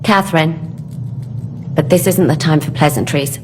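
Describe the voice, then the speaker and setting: A woman playing a brisk, sardonic medieval conspirator in a video game, speaking in a dry, practical manner. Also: low voice, noisy background, lightly acted. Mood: practical, urgent. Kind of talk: cinematic video game acting.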